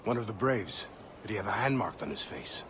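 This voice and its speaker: Man playing a solemn deep-voiced Native warrior. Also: expressive, noisy background, close to an everyday voice. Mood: earnest. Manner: measured, formal speech. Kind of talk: video game dramatic acting.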